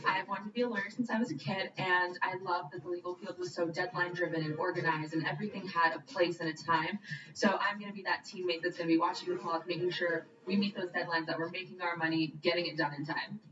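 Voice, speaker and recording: A woman in her twenties from California introducing herself as a game show contestant, brisk and self-assured. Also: fairly low pitch, fairly even intonation. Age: 20s. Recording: distant, muffled, roomy TV audio.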